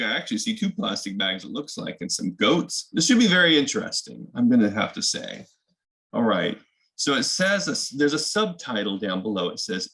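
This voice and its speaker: A man's voice: medium pitch, a bit bright. A man hosting a children's picture book read-aloud, mixing story narration with casual explanatory asides.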